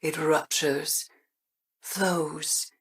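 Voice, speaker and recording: An eerie, cryptic ship mind. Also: female voice, low-pitched, fairly even intonation, very slow, recorded from a distance, a little hiss or hum.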